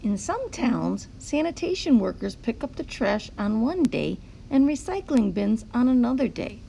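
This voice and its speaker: A woman's voice: a little high, big pitch swings, normal speed, vocal fry, warm tone, slight background noise, low-quality recording. A woman reading a picture book aloud to young children, explaining things simply like a teacher. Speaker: teacher-like read-aloud host.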